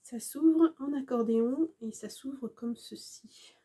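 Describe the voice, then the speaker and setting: Female crafter, sick with a cold, husky voice, chatty and halting. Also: sits high, dark tone. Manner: chatty, hesitant, many fillers, apologetic. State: has a cold, congested. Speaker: scrapbooking crafter. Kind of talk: casual craft show-and-tell.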